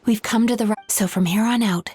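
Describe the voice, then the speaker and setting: A woman playing a gentle, calm therapist heroine in a video game, with a measured, composed delivery. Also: medium pitch, background noise, lightly acted. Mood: calm, resolute.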